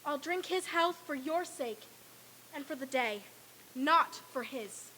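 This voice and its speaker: Woman as a very high, animated, feisty mother. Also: slow pace, a little hiss or hum, heavily acted, poor audio. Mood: indignant. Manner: animated, exclaiming. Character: bustling, feisty working-class mother and wife. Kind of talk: old time radio stage reading.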